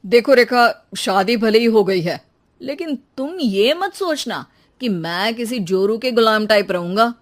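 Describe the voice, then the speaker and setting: A woman playing an arrogant, high-voiced young husband. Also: animated, a little slow. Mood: stern, scornful. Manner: scornful, bossy. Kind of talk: acted moral-story dialogue.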